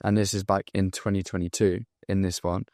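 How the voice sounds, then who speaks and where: A man in his twenties from England with a southern English accent, talking straight to camera as a sales coach in a blunt, profane, hyped-up motivational pitch. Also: little pitch movement, a little fast, darkish tone, no echo, clean studio sound. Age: 20s. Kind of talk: direct-to-camera pitch video.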